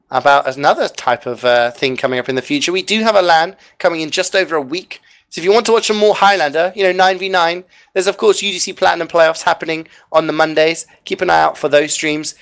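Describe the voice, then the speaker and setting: A man casting an online game match live, chatty and upbeat with frequent fillers, a bit hoarse as he loses his voice. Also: on the high side, a bit bright. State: losing his voice. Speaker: esports caster. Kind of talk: live esports commentary and sign-off.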